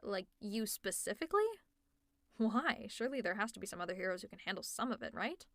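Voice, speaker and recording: A woman's voice: mid-range voice, expressive, fairly quick, no echo, studio quality, close to an everyday voice. A woman playing a teasing, exasperated, secretly caring female supervillain in an ASMR audio roleplay, with a sarcastic, conversational delivery.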